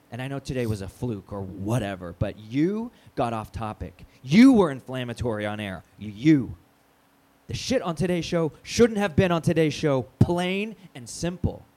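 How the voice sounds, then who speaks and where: Flustered, swingy-voiced station manager. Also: male voice, medium pitch, big pitch swings, warm tone. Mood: hurt, defensive. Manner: halting, cajoling corporate talk with outbursts. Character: flustered, placating, foul-mouthed male radio station manager. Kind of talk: naturalistic audio drama acting.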